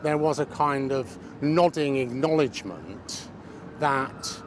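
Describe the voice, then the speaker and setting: Male, sixties, mid-pitched, conversational reminiscence, recorded outdoors with clear traffic noise. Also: takes it slowly. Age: 60s. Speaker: interviewee.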